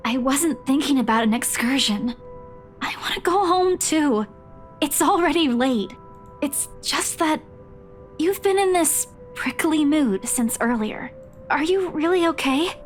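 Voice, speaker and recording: A woman's voice: high-pitched, expressive, unhurried, faint music behind. A woman playing an anxious, caring teenage girl in a visual novel, speaking in an earnest, hesitant way. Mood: concerned. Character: anxious, caring teenage girl calling after her friend.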